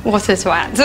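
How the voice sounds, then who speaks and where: A British woman in her twenties, talking candidly as a bride on a reality show. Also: on the high side. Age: 20s. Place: indoors, bridal shop.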